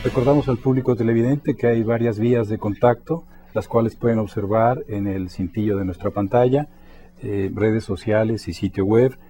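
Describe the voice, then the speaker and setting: A man's voice: lowish voice, steady tone, normal speed, dark tone, faint music behind. A man hosting a university television talk show and addressing viewers politely. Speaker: TV host.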